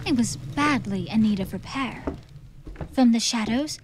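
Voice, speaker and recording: A woman's voice: a little high, a little slow, background noise. A woman narrating a theatrical audiobook from a script, in a storytelling style.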